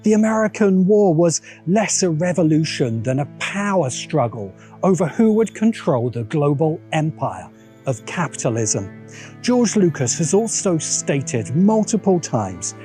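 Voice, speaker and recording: A British man narrating a scripted video essay in a measured, emphatic, deliberate way. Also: a little high, sing-song, warm tone. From Britain. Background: background music.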